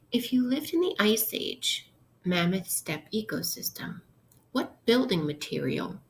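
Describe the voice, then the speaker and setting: Female primary teacher, read-aloud lesson style, slightly low pitch, unhurried instructional delivery, slight background noise. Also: poor audio. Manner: instructional, posing questions to listeners. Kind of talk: interactive read-aloud lesson for young children.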